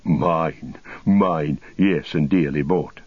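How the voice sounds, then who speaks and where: Guy doing sneering old fallen wizard for an audiobook, cracked deep voice, kinda muffled and echoey. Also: fairly even intonation, heavily acted. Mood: sneering mockery. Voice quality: cracked, husky. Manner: mocking, drawn-out archaic phrasing.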